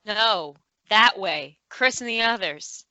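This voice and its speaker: A woman's voice: medium pitch, expressive, takes it slowly, sharp tone, dull audio. A woman playing an anxious, defiant soldier in a table read, questioning and insistent. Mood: worried, defiant. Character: anxious, defiant young female special forces soldier. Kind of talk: amateur screenplay table read.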